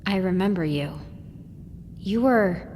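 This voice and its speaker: A woman's voice: medium pitch, takes it slowly, long reverb tail, slight background noise, natural voice. An actress playing a wounded, defiant young woman in an audio drama, speaking bluntly and emotionally. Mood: dazed. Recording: loud music over the voice.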